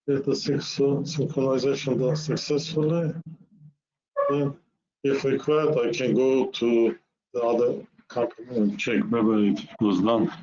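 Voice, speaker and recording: A man narrating a software demo webinar, explaining step by step in a halting way with repeated words. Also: mid-range voice. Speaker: software presenter. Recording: muffled lo-fi webinar audio.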